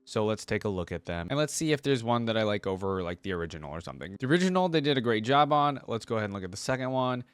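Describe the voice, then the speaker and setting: A man's voice: on the low side, lively intonation, racing, hardly any pauses, clear, resonant tone, no echo, clean studio sound. A man giving casual reaction commentary in an enthusiastic, conversational way, as if thinking aloud. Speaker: reaction video creator. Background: background music.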